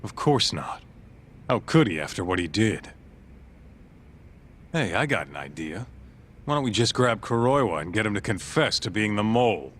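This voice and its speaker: A brash, hot-headed sidekick from a video game drama. His low voice swings about freely, over audible ambience. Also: animated, normal speed, pauses often, fairly bright tone, slight background noise. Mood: brash, defensive. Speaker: voice actor in English game dub. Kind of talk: dubbed video game cutscene acting.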